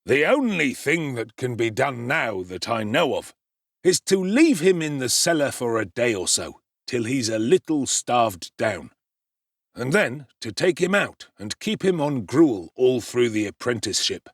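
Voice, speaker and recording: Man doing a slow, pompous parish beadle. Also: mid-range voice, big pitch swings, dry recording, studio quality. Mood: pompous stern. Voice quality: pompous, drawn-out stern emphasis. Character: pompous self-important male parish beadle, middle-aged.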